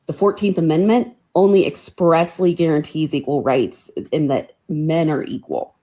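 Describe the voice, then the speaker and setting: A woman's voice: deep voice, normal speed, mellow. A woman explaining assertively in a played clip of a casual discussion.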